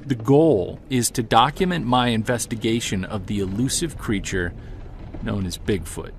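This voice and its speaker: A man playing a driven, defiant Bigfoot hunter narrating an audio-drama journal with earnest intensity and emphatic pauses. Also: fairly low pitch, creaky, a little hiss or hum, exaggerated voice. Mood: grave, mysterious.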